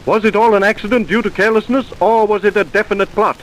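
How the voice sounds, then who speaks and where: An actor playing a grave, emphatic narrator of an old radio mystery, formal and declamatory. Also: male voice, high voice, steady tone, quick pace, fairly bright tone. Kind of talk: vintage scripted radio narration. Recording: noisy vintage radio recording.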